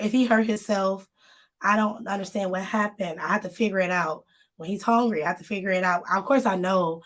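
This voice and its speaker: Woman chatting on a live stream, kinda hoarse, talking to the viewers. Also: medium pitch. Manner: chatty, addresses viewers directly. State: slightly hoarse, voice still recovering. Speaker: magazine co-host. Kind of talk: casual live-stream chat.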